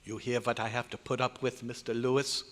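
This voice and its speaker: A man's voice: medium pitch, little pitch movement, a little fast, a bit bright, lightly acted. A man playing a domineering, exasperated scientist in a live recreation of an old-time radio drama. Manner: exasperated, emphatic, theatrical. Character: irritable, domineering German male scientist, a doctor. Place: hotel convention meeting room. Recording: echoey hall, live stage performance.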